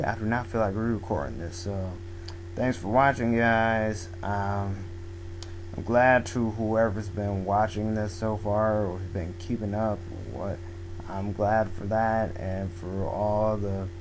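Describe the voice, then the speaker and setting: Young male vlogger, voice going hoarse, slow rambling delivery, home mic with a faint hum. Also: lowish voice, steady tone, low-quality recording. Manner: rambling, filler-heavy, restarts often. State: losing his voice. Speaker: manga video blogger. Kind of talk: casual spoken manga review. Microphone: home microphone.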